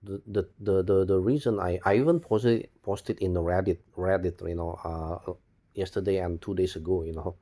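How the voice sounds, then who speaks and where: Male vlogger, non-native English speaker, deep relaxed voice, unscripted rambling with frequent repeats and restarts. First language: not English. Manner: repetitive, halting, restarts words and phrases often. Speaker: video blogger. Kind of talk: spontaneous rambling monologue.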